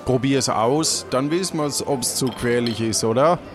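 A man's voice: mid-range voice, moderate pace. A man improvising casual comic adventure dialogue in a colloquial, bossy way. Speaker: player in an improvised audio play. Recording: music behind the voice.

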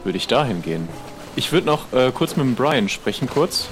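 A man's voice: medium pitch, sing-song, normal speed, music in the background. A man speaking casually and politely, a little tentative, as a player improvising in a role-play.

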